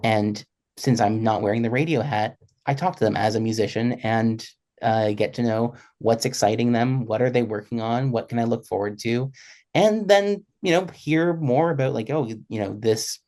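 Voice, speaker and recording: A man's voice: mid-range voice, moderate pace, a little mellow. A man speaking as a panelist in an unscripted discussion, thinking aloud and rephrasing as he goes. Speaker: panelist, radio broadcaster.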